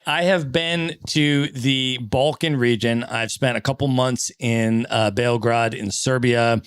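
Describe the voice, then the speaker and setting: A man's voice: medium pitch, fairly even intonation, takes it slowly, clean tone, dry recording, clean studio sound. A man hosting an interview podcast, enthusiastic and encouraging, often saying how amazing things are. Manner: enthusiastic, repeats "that's amazing". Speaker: podcast host.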